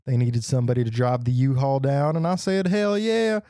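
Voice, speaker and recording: Young male podcast co-host, easygoing and wisecracking, mellow tone, dry close recording. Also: medium pitch, steady tone, fairly quick, clear, resonant tone, no echo. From Michigan. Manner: jokey, laid-back. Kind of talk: casual chat.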